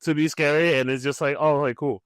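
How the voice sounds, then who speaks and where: A man's voice: a little high, fairly even intonation, fairly quick, clean tone, no echo. A man co-hosting a podcast, chatting casually in an easygoing, plain-spoken way. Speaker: podcast co-host. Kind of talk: casual panel chat.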